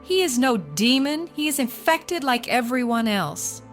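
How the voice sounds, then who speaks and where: Anxious, loyal woman, high-voiced. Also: sing-song, measured pace, faint music behind. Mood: alarmed, defiant. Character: anxious, loyal woman defending her doomed friend. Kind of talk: audio drama acting.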